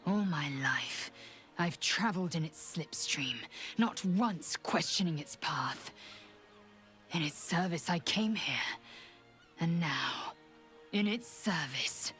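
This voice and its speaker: An actress playing a dutiful, solemn alien warrior woman in a video game, stern and deliberate in her speech. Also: low-pitched, lively intonation, a bit bright, faint music behind, heavily acted. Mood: solemn vow. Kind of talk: dramatic video game acting.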